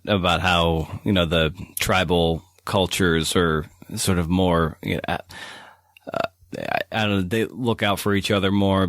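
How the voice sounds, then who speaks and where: A man's voice: low-pitched, fairly bright tone, dry recording, studio quality. A man hosting a casual interview podcast, feeling his way through loosely phrased, informal questions.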